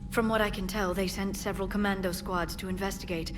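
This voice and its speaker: A woman playing a composed, diplomatic alien crewmate in a video game, speaking in a measured, earnest way. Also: mid-range voice, steady tone, music in the background, natural voice. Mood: earnest, concerned.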